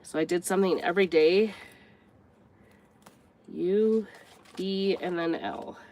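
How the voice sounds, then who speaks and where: A grandmotherly woman with a congested, stuffed-up voice talks her way through a craft project. Her voice sits a little low, and she rambles and corrects herself as she works. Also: slow pace, dark tone, lo-fi sound, slight background noise. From United States. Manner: chatty, rambling, thinks aloud while working. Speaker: craft vlogger. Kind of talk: casual craft tutorial chat.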